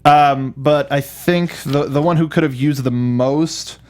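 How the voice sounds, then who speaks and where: A man co-hosting a review show in casual, animated chat, often acting out imagined lines and reactions as he talks. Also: on the high side, unhurried. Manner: acts out imagined lines and reactions while talking. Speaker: co-host of a film review show.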